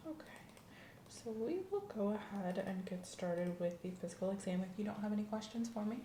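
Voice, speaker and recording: A woman's voice: mid-range voice, lively intonation, brisk, mellow, reverberant, a little hiss or hum. A woman doing an ASMR medical exam roleplay as an examiner, methodical and professional in the way she questions a patient.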